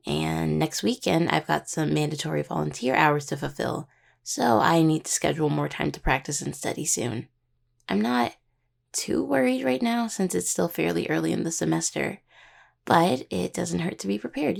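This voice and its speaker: A young woman playing a caring, teasing necromancer healer in an intimate audio roleplay, with a husky voice and conversational delivery. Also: deep voice, exaggerated voice. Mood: casual, slightly awkward. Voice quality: husky, gravelly. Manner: hesitant, teasing, full of pet names.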